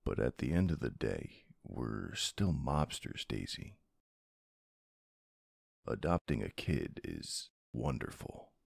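A man playing a tough but soft-hearted mafia boss husband in an intimate ASMR roleplay, murmuring tenderly with a very deep, gravelly voice. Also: very animated, takes it slowly, dry recording, studio quality, heavily acted. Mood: tender, uneasy.

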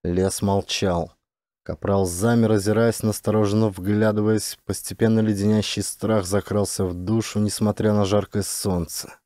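A man narrating a scripted science fiction audiobook dramatically, with suspenseful, deliberate delivery. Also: on the low side, level tone, dark tone, no room sound, clean studio sound.

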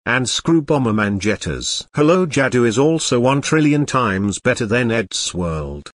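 A man's voice: mid-range voice, sing-song, a little slow, dry recording, clean studio sound. A man voicing a bratty young boy who rants and insults in a cartoon. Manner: whiny, insulting ranting. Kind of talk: cartoon grounded-video dialogue.

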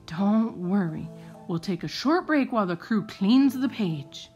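A woman's voice: medium pitch, expressive, measured pace, darkish tone, dull audio, soft background music, voice pushed down, lightly acted. A woman voicing a harried pencil in a storybook, apologetic and matter-of-fact as it instructs its crayon cast. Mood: directing.

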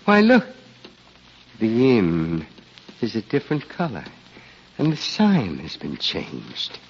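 A meek old villager, slow and befuddled, his voice swinging up and down as he exclaims. It is a 1940s radio drama on a muffled, noisy old recording. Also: fairly low pitch, huge pitch swings, exaggerated voice. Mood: distressed, bewildered. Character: bewildered, meek old village man, freshly woken.

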